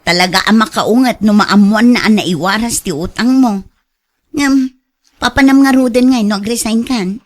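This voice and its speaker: A woman's voice: on the high side, normal speed, close to an everyday voice. A woman with a northern Philippine accent playing a frank, inquisitive confidante in a radio drama. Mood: curious, frank.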